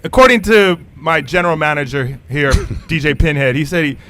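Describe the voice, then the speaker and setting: A man's voice: on the high side, brisk, fairly bright tone. A man hosting a casual radio interview, asking questions and reading out announcements in a studio.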